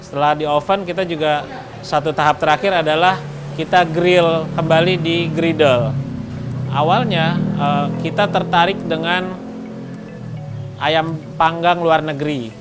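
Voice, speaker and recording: Higher-pitched man explaining his business. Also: fairly even intonation, unhurried, over music. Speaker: restaurant co-owner being interviewed. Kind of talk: interview talk, explaining a business.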